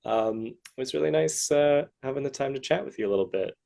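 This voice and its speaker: A man's voice: mid-range voice, a little fast, gravelly, mellow. A man hosting a casual interview, talking in a hesitant, rambling and encouraging way. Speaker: podcast host interviewer.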